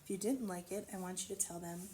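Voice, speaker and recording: A woman reading a storybook aloud to children, expressively, pausing to wonder aloud and explain things simply. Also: lowish voice, steady tone, dark tone, slight background noise, poor audio. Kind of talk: children's read-aloud with asides to the listener.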